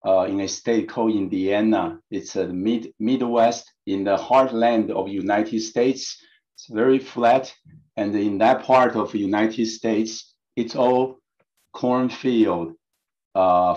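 A man's voice: fairly low pitch, darkish tone. A man in his late fifties who grew up in Taiwan gives a personal talk over slides as a guest speaker on a video call, stumbling through many restarts and fillers. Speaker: guest speaker, engineering professor. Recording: dull lo-fi video call audio.

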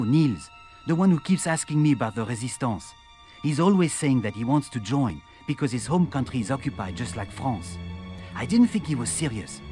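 An actor playing an earnest young French Resistance fighter, quietly businesslike and protective, in scripted game cutscene dialogue. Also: male voice, mid-range voice, close to an everyday voice. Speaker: resistance member briefing his sister.

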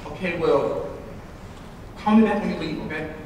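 A man's voice: on the high side, normal speed, noisy background, natural voice. A young man acting in a live stage play as a cocky, flippant college womanizer with a smug, casual manner. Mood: evasive, casual. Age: young adult. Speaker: stage actor. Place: large indoor theater stage. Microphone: far camera. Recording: distant camera recording in echoey theater.

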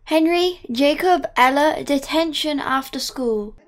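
A woman playing a strict schoolteacher in an amateur game movie, brisk and commanding. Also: high voice.

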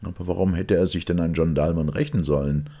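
A man's voice: deep voice, steady tone, a little fast, dark tone, voice pushed up. A man voicing an impatient, incredulous male secretary in an audiobook, objecting and questioning emphatically. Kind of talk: audiobook character acting.

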